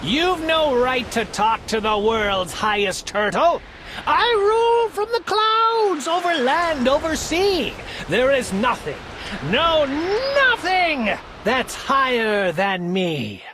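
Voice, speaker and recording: A woman's voice: sits high, sing-song, slow, background noise, voice pushed up, exaggerated voice. A woman voicing a pompous, boastful male turtle king who makes grand proclamations. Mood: indignant.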